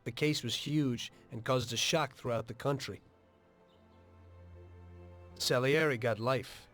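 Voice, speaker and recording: A man's voice: medium pitch, fairly bright tone, soft background music. An actor with an American accent playing a weary, matter-of-fact ex-mobster who tells his story in a video game. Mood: reflective. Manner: measured, plain-spoken, understated. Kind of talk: dramatic video game dialogue and narration.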